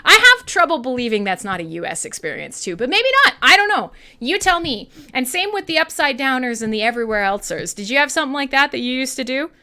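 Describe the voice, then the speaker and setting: A woman's voice: high voice, very animated, fast, few pauses, sharp tone. A woman with a Western Canadian accent hosting an online radio show, chatting casually and irreverently with listeners, with plenty of swearing.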